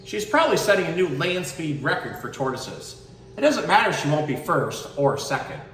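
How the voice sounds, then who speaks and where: A man with a fairly high, very animated voice talks like an educational presenter. His delivery is casual and explanatory. He is recorded away from the mic in an echoey room. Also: moderate pace. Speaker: zookeeper presenter. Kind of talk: casual educational talk and picture book read-aloud. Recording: roomy, distant mic, faint background noise.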